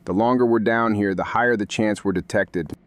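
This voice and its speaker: A man playing a serious, knowledgeable companion who talks in a clipped, precise deadpan in an audio drama. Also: lowish voice, fairly quick, lightly acted. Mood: measured, serious. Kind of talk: scripted fiction podcast acting.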